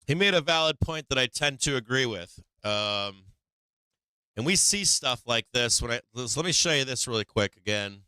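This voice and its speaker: A man hosting a live stock market show, talking through charts in a loose, unscripted way. His voice is bright and smooth, and the sound is dry and close. He often stalls and restarts mid-sentence as he hunts for the right phrasing. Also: mid-range voice, animated, no echo, low-quality recording. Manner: halting, searching for words, frequent restarts. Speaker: host of a stock market livestream. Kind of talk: casual live chart commentary.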